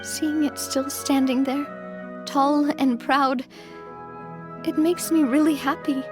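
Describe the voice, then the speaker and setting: A young female boss from a video game story, her voice high and her delivery slow. She is earnest and deliberate, kind yet resolute, and carries a wistful weariness. Music plays behind her. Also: fairly even intonation. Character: kind, resolute young woman leading an organization, weary and wistful. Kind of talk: dramatic video game voice acting.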